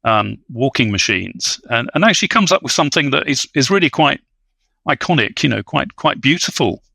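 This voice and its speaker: A man discussing film in a scholarly, thoughtful way, speaking haltingly and hesitantly as a guest commentator. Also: mid-range voice, animated, creaky, sharp tone, no echo.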